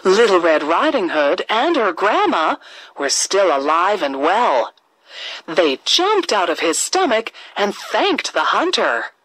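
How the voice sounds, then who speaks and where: A woman narrating a scripted children's fairy tale with deliberate, expressive phrasing for young listeners. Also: medium pitch, dry recording, rough recording. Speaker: storyteller.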